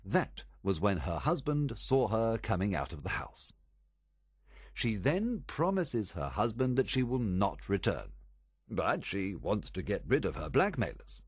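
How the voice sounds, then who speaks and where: A calm detective lays out his reasoning in a low, husky voice with a lively, rising and falling pitch, as a male reader puts him on. The delivery is measured and clear, a storybook narration recorded close and dry. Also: no echo, exaggerated voice. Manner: measured, explanatory. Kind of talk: storybook reading.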